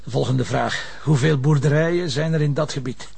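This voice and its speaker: Husky, gravelly Flemish police commissioner. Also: male voice, a little high, a little fast, a little mellow, exaggerated voice. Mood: brooding, urgent. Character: harried, stubborn male police commissioner. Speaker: actor. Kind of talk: naturalistic TV police drama acting.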